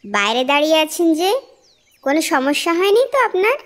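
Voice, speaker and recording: A woman voicing a devout, caring and easily flustered young wife in a moral-tale cartoon, polite and expressive. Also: sits high, fairly quick. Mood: cheerful, caring.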